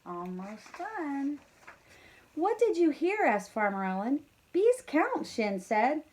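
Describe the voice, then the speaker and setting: A woman reading a children's story aloud in an expressive, encouraging way, talking directly to young listeners with casual asides. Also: high-pitched, slow pace, background noise. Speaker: teacher reading a bedtime story to pupils.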